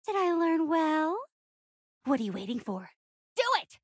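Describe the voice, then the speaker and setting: A woman's voice: high voice, very animated, measured pace, dry recording, studio quality. A woman voicing an unhinged, vengeful, sadistic pirate younger sister in a video game, with a taunting, manic, theatrical delivery. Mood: manic, gleeful.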